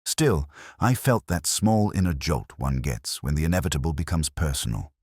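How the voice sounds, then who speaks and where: Very deep-voiced man narrating a mystery. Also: expressive, normal speed, a little mellow, breathy edge, dry recording, clean studio sound. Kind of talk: first-person scripted narration of a literary radio mystery.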